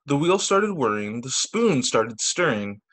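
A man, a teacher, reading children's stories aloud over a video call in an expressive, sing-song storytelling way. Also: mid-range voice, unhurried. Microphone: video call microphone.

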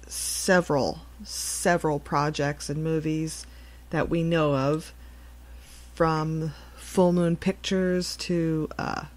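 A woman hosting a podcast, reading a solemn announcement in a measured, halting way. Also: deep voice. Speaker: podcast host. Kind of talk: solemn news-style obituary announcement.

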